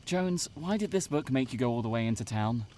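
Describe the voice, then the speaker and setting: A man's voice: mid-range voice, expressive, fairly quick, mellow, lightly acted. A man playing a blunt, skeptical young male camp counselor in an audio drama, brusque and sarcastic. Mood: impatient.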